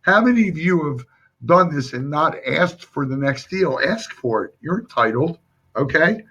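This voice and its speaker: A hoarse man coaching viewers through a live sales training, speaking emphatically and addressing them directly. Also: a little high, lively intonation, moderate pace, pushed and bright. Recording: dull lo-fi stream audio.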